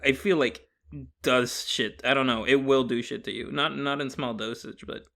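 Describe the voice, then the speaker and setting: A man's voice: mid-range voice, fairly even intonation, moderate pace, vocal fry, fairly bright tone. A young man hosting a casual comedy podcast, bantering with profane, joking exaggeration. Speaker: podcast host.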